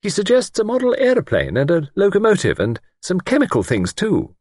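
A fluttery middle-aged spinster, as a male narrator puts her on, eager and easily alarmed. Her voice leaps high and swoops about with great liveliness. It sits close and dry, with no room around it. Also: huge pitch swings, a little fast, a little mellow, no room sound, heavily acted. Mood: chattering. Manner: high, breathless, fussy and eager.

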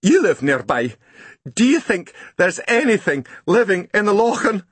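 A man reading an audiobook voices a soaked, shaken Scottish postman in an excited, pleading tone. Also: moderate pace, breathy edge, dry recording, exaggerated voice. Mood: hushed, anxious. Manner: excitable, breathless, high-pitched pleading.

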